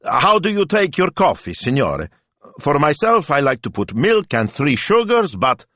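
A man voicing an excitable, anxious shopkeeper with a flustered, urgent, over-polite way of talking in an audiobook. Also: on the low side, a little slow, gravelly, slightly rough, dry recording, pitched above the performer's own voice, full character voice. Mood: nervously hearty. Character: excitable, anxious, fussy male Italian shop owner.

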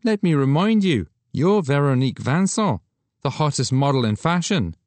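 A man's voice: on the high side, expressive, normal speed, a little mellow, no room sound, voice pushed up, natural voice. Man doing a brash, flirtatious photographer. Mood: teasing, incredulous. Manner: teasing, exclamatory. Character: Irish male photographer, flirtatious, brash, excitable.

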